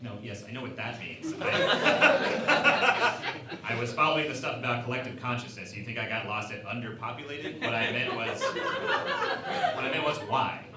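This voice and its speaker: A flustered, defensive male crewman, acted by a man in a sci-fi radio parody. His hesitant voice swings wildly in pitch. It is echoey, with loud noise around it. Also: huge pitch swings, moderate pace. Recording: echoey room, distant mic, loud noise.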